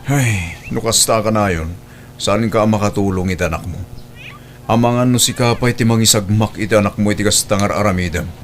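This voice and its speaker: A man's voice: lowish voice, brisk, a little hiss or hum, natural voice. A man playing a stern, disapproving middle-aged husband and father in an audio drama, arguing in a reproachful manner. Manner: reproachful, argumentative.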